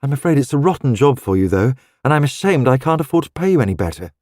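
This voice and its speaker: A man voicing a sensible, orderly young woman artist who speaks in a polite, brisk and frank way. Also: mid-range voice, sing-song, darkish tone, dry recording, studio quality. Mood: friendly.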